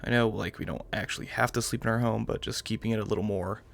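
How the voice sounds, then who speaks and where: Gravelly young American man gaming. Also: lowish voice, fairly even intonation, fast, fairly bright tone. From New York, United States. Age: young adult. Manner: rambling, self-qualifying. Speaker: gaming channel host. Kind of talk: casual let's-play commentary.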